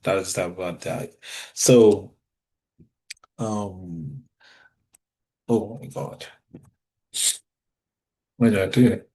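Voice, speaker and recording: Man, Nigerian English accent, lowish voice, informal talk, fillers and restarts. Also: extremely slow, long pauses, warm tone, low-quality recording. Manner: conversational, with frequent fillers and restarts.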